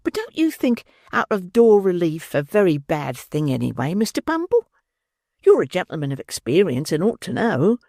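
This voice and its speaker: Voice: medium pitch, huge pitch swings, moderate pace, warm tone, dry recording, studio quality, voice pushed up. A reader voicing a fawning, gushing middle-aged workhouse matron in a raised voice. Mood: flattering.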